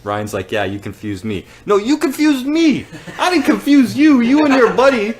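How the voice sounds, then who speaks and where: A man's voice: very high voice, sing-song, moderate pace, low-quality recording. A man with a Canadian accent, rambling and joking as he hosts a live giveaway. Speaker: business owner hosting a live giveaway. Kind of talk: casual livestream chat.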